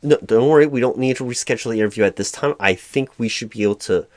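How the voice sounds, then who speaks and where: A man's voice: mid-range voice, fast, no echo, close to an everyday voice. A man playing a flustered, apologetic corporate hiring manager in a one-sided roleplay, stammering and repeating his words as he goes. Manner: frustrated, hesitant. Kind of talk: improvised audio roleplay, one side of a conversation.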